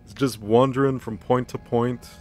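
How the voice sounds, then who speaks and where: A man co-hosting a casual comedic story recap, narrating playfully with a creaky voice and slipping into dialogue. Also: medium pitch, fairly even intonation, normal speed, a little mellow, soft background music.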